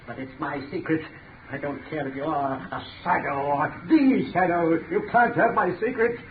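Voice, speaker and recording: A man's voice: on the high side, moderate pace, natural voice. A man playing an agitated, cackling mad doctor who boasts and guards his secrets in a 1930s radio drama. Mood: manic, gloating. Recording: scratchy, tinny 1930s radio recording.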